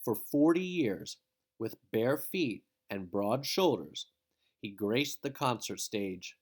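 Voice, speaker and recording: A man reading a children's picture book aloud in an expressive, dramatic storytelling style. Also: lowish voice, slow pace. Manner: dramatic, with emphatic exclamations.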